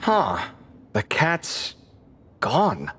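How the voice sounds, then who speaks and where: A young male video game adventurer, expressive and sardonic. His pitch leaps around while his pace stays leisurely. The sound carries long reverb and slight noise. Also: extremely slow, full character voice. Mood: surprised. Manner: animated, sarcastic, exclaiming. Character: expressive, sarcastic young adult male adventurer and scholar's companion.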